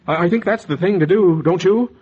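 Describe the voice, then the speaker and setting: An actor playing an anxious, guilty husband hiding a murder, with a hesitant, stammering American delivery in 1940s radio noir style. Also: male voice, high voice, a little fast, husky. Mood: feigned reluctance. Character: anxious guilty husband, secretive murderer, narrating his crime. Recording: dull, muffled vintage 1940s radio recording.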